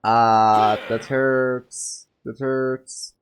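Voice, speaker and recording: A man's voice: medium pitch, little pitch movement, drawn-out words, clean tone. A man playing a video game and thinking aloud in casual commentary, self-mocking and given to exclamations and muttering. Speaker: gaming streamer, player.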